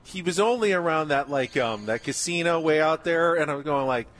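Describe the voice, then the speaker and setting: A man's voice: fairly high pitch, expressive, fairly quick, a bit bright. A man hosting a sports radio show, asking questions in a casual, conversational style.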